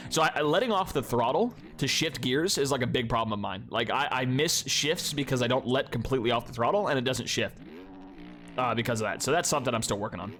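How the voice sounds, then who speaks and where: A man's voice: mid-range voice, quick pace, a little hiss or hum. A young man casually commenting on his own game replays, congested and sniffling, rambling with lots of fillers and restarts. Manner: rambling, many fillers and restarts, relaxed swearing. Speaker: gaming youtuber.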